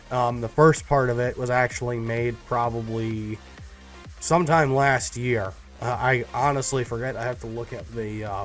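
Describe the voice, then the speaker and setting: A man with a stuffy, congested voice gives a casual personal update to his viewers, rambling with lots of ums and restarts. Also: medium pitch. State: stuffed up, nasal from a cold. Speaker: YouTuber.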